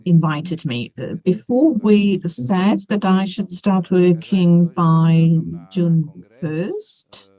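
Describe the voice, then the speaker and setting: A mellow, dark-toned voice renders a speaker's words into English at a university panel. The interpretation comes in halting bursts with fillers and restarts. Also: medium pitch, poor audio. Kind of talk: simultaneous interpretation. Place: university faculty event.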